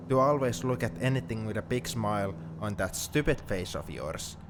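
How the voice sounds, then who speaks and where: Man doing a sappy teasing young guy friend, kinda deep voice, asmr roleplay chat, car noise in the back. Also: close to an everyday voice. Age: young adult. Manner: reflective, playfully defensive. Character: teasing, sentimental young man heading to university. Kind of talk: intimate ASMR roleplay, one-sided conversation. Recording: steady car noise behind the voice.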